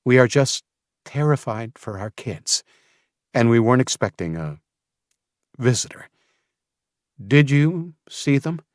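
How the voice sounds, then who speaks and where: A man voicing a worried mother in an audiobook, gentle but firm and questioning. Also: animated, slow, pauses often, no room sound, clean studio sound. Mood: gentle, anxious. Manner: gentle, questioning, pitched up when upset.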